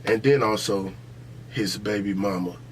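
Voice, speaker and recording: A man's voice: on the low side, unhurried, a little hiss or hum. An American man hosting a podcast, talking casually and emphatically with heavy slang and swearing, repeating his points. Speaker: podcast host. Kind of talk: casual opinionated podcast talk.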